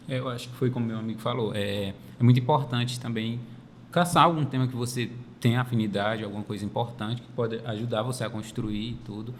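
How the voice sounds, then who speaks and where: Male student panelist, medium pitch, plain informal talk, long reverb. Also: brisk. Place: makeshift studio at a university campus event. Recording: long reverb, faint ambient noise.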